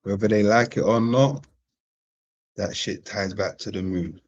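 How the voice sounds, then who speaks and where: A man hosting a live-streamed chat with a husky, somewhat low voice. He is laid-back, loops back on his own phrases and tosses out slangy greetings. The sound is dull and lo-fi. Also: unhurried, a little mellow. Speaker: host of a live-streamed panel. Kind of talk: casual chat, greeting viewers.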